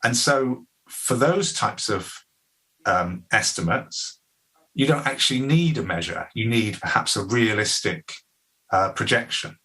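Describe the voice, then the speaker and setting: A man with a fairly low voice but a bright, forceful tone, walking an audience through methods step by step. He talks in a measured, explanatory way, with plenty of ums and restarts, as a presenter at an online masterclass fielding a question. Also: lively intonation, poor audio. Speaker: presenter of a tool.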